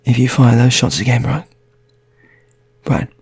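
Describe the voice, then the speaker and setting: A man voicing an exasperated unarmed hostage who scolds and pleads in a halting, repetitive, fatherly way, in an improvised story. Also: mid-range voice, a little mellow, slightly rough. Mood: angry warning.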